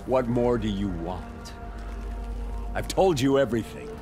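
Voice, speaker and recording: A man's voice: medium pitch, a little slow, music in the background, natural voice. A man playing a defiant captive scientist in a video game, talking with emphatic scorn. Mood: scornful, defiant. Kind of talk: dramatic video game acting.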